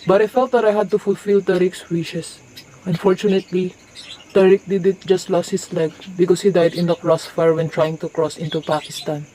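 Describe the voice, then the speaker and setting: A man's voice: high-pitched, flat delivery, moderate pace, mellow, close to an everyday voice, low-quality recording. A man playing a solemn bearer of bad news in an amateur radio drama. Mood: grave. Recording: faint noise with chirping insects.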